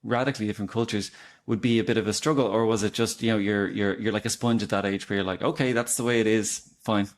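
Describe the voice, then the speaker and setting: A man's voice: lowish voice, steady tone, fast. Friendly male interviewer. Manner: friendly, asks long questions with fillers. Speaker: interviewer, career coach. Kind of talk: conversational interview.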